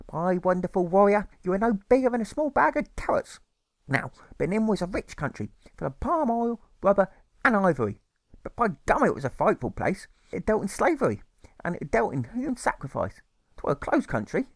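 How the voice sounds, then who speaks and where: A man's voice: high voice, no room sound, pitched above the performer's own voice. A man voicing a fretful, doting old mother in an audiobook, theatrical and repeating phrases insistently. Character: fretful, doting, nagging working-class old English mother. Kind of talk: audiobook character voice.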